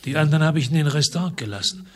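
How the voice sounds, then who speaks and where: An actor playing a weary, hard-boiled investigator in a radio drama, with terse, laconic delivery and a husky voice. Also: male voice, on the high side, lively intonation, rapid-fire, lightly acted. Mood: cold menace. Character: weary, hard-boiled male investigator telling his story. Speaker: first-person narrator and lead actor. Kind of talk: German radio drama narration and dialogue.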